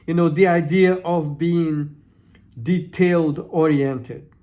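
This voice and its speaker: Slow, high-voiced man with a Brazilian accent. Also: fairly even intonation, dark tone. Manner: hesitant, often repeats and restarts words; earnest advice-giving. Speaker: channel host. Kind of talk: informal talk to camera.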